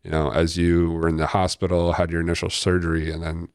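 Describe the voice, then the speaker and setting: A man in a casual conversation, talking in a relaxed way and asking questions with plenty of fillers. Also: sits low, quick pace, clear, resonant tone, dry recording, clean studio sound. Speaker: guest, friend.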